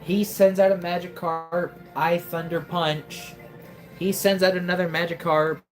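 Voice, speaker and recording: Young woman, game streamer, unhurried delivery, deep voice, dry jokey asides. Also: level tone, over music. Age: 20s. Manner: wry, joking, exclaiming to herself. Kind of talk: casual live gameplay commentary.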